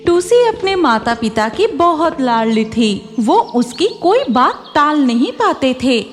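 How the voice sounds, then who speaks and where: A woman's voice: high voice, very animated, slow pace, faint music behind. A woman narrating a scripted children's story as its storyteller.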